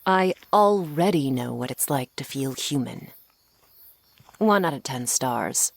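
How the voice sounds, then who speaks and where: A woman playing a teasing, menacing century-old female vampire in an intimate ASMR roleplay, sardonic and unhurried, sometimes slipping toward a whisper. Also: a bass voice, expressive, gravelly, exaggerated voice. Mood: sly, teasing.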